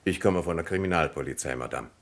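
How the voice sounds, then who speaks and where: A man playing a courteous, methodical and firm French police commissioner in a formal, measured German radio crime drama style of the mid twentieth century. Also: extremely low pitch, gravelly, slight background noise. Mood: politely firm.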